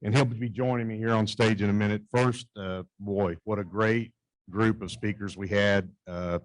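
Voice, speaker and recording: Deep-voiced male speaker, casual folksy stage remarks, close and dry. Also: fairly even intonation, normal speed, dry recording, rough recording. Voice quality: low voice he calls a voice for radio. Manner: self-deprecating, folksy. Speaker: conference speaker. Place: conference hall stage.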